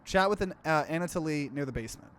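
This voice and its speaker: A man's voice: a little high, lively intonation, fast, a bit bright, slight background noise. A man hosting a let's play, reading game narration aloud and adding his own commentary.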